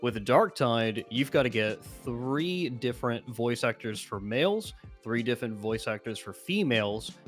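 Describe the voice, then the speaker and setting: A man's voice: mid-range voice, expressive, a little slow, hardly any pauses, faint music behind. A man giving casual gaming commentary as a guest, explaining his opinions at length.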